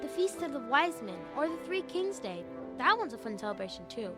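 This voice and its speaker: A curious young girl in a children's audio drama. Her high, animated voice is casual and wide-eyed, with music behind it. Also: a little fast.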